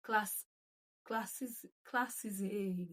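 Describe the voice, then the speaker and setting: A woman's voice: mid-range voice, fairly even intonation, extremely slow, a bit bright. A young Nigerian woman whose first language is Yoruba hosts her own channel, reading viewer comments aloud and reflecting on them in a chatty way, with frequent restarts and laughter. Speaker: channel host. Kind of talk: casual talk to camera, reading comments.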